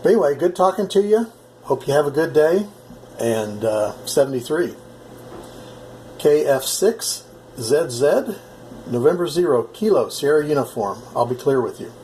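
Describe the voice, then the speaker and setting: A man talking casually as an amateur radio operator, in a friendly and halting way. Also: a little high, lively intonation, dark tone, slight background noise, poor audio. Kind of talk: casual chat over ham radio.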